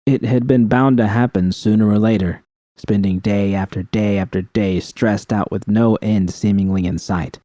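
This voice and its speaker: A man's voice: on the low side, fairly even intonation, moderate pace, mellow, no echo, clean studio sound. A man reading a scripted first-person story as an audiobook narrator.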